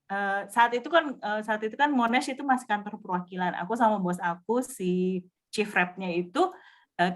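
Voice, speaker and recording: A woman of ordinary pitch recounts her experience on a video call. She hesitates often, filling gaps with small sounds and restarting her sentences as she goes. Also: fairly bright tone. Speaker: guest sharing her experience. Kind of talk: casual group chat.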